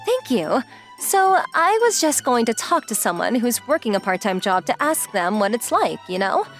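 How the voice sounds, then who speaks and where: Young female model, anime dub, played by a woman: breezy, teasing, forward, on the high side with big animated swoops. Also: normal speed. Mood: easygoing, explanatory. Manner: forward, playful, casually inquisitive. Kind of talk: anime-style dub acting. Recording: music behind the voice.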